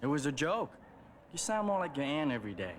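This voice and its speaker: Flippant teen buddy, lively swings. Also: male voice, fairly high pitch, a little fast, noisy background. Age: young adult. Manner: breezy, flippant. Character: flippant, laid-back teenage male buddy. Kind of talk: animated series dialogue. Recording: music behind the voice.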